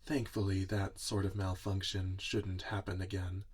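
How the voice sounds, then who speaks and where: A man playing a gentle, weary inventor and doting father in a soft-spoken ASMR roleplay, musing tenderly to his robot. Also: sits low, level tone, slightly rough. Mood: wry, musing. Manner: slow, tender, musing, talking to a robot listener.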